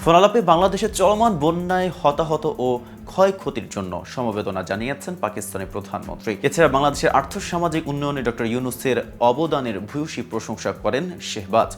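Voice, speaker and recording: A man with a Bangladeshi accent presenting scripted news in a formal, measured newsreader delivery. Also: medium pitch, expressive. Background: music bed.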